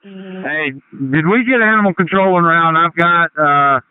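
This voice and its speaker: A man's voice: on the high side, a little slow, clean tone. A man working as a fire officer, passing on crew details in an informal, explanatory way over a two-way radio. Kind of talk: fireground radio traffic. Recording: tinny two-way radio transmission.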